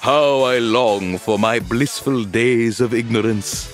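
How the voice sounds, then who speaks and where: A man's voice: medium pitch, slow, soft background music, pitched below the performer's own voice, lightly acted. A man voicing a brainy talking dog that speaks in a pompous, old-fashioned way. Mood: lofty. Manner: pompous, archaic phrasing.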